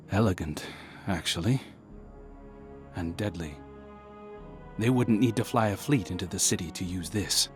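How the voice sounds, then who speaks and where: An actor voicing a dry, wry young male scout in conversational game dialogue with soft music underneath. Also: on the low side, close to an everyday voice.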